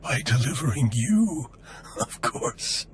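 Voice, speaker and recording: A man playing a gloating, theatrical anarchist gang leader in a video game, with silky, drawn-out menace. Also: mid-range voice, sing-song, a little hiss or hum. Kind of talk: video game villain acting.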